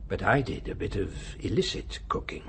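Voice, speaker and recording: An actor playing an urbane, cynical man of high authority in a radio drama, lecturing in a measured, condescending way. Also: expressive, a bit bright, slight background noise. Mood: confiding. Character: male world ruler, urbane, coolly cynical, menacing authority.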